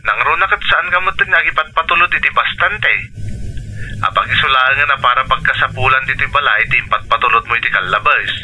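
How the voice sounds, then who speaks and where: A man's voice: mid-range voice, fairly quick, bright, forceful tone, a little hiss or hum, low-quality recording. A man playing a pushy, demanding older brother in a radio drama, wheedling and insistent, with an Ilocano accent.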